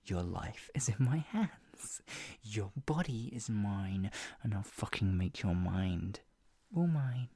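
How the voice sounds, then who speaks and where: A woman playing a menacing, obsessive yandere captor in an ASMR roleplay, husky and taunting, swinging between mock pity and threat, often dropping into a breathy whisper. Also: rumbling low, a little mellow, exaggerated voice. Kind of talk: ASMR roleplay, improvised monologue.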